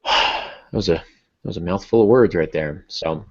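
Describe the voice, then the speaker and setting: A man's voice: deep voice, moderate pace, husky, highs cut off. A man hosting a writing podcast in casual talk, with a self-deprecating, joking manner. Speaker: podcast host and fiction writer.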